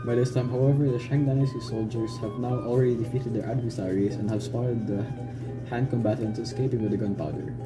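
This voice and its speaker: Male narrator, medium pitch, scripted storytelling with a slightly stumbling read, set over prominent music. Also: fairly even intonation, a little fast, rough recording. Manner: stumbling read-aloud delivery.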